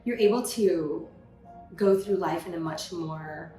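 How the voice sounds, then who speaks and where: A woman from California, a podcast guest, telling her story in a lively, casual way with many "you know" fillers. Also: lowish voice, slow pace, echoey room, soft background music, poor audio. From California, USA. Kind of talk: casual interview conversation.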